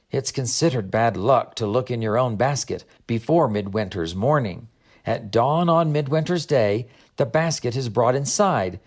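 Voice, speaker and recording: A friendly woman guide from a storybook, played by a male narrator. The delivery is patient and explanatory, with widely varied, animated intonation. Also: medium pitch. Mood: patient, instructive. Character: Greta, friendly woman guide explaining her land's customs. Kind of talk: audiobook character dialogue.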